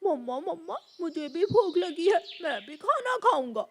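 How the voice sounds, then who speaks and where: A woman's voice: very high voice, big pitch swings, a little slow, fairly bright tone, a little hiss or hum, heavily acted. A woman voicing a whiny little boy crow who calls for his mother in a children's cartoon. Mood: whiny, hungry. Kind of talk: Hindi children's moral cartoon dialogue.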